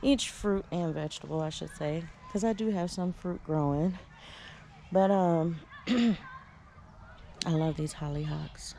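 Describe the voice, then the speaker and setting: A woman strolls through her backyard garden and chats about her plants in an unhurried, relaxed way. Her voice is on the lower side and mellow, picked up by the camera she carries outdoors, with a little faint noise around it. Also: gravelly. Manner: chatty and relaxed, many fillers and restarts. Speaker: home gardener giving a garden tour. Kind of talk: casual walking garden tour.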